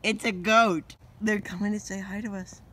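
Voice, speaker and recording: A teenage boy vlogging on a walk down a quiet street, joking and rambling into a phone held at arm's length. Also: high voice, steady tone, moderate pace, a little hiss or hum. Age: teens. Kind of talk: goofy walking vlog.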